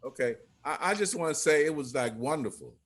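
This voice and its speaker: A man's voice: a little high, fairly quick, slight background noise. A man giving casual feedback on a video call in loose, broken-up phrases.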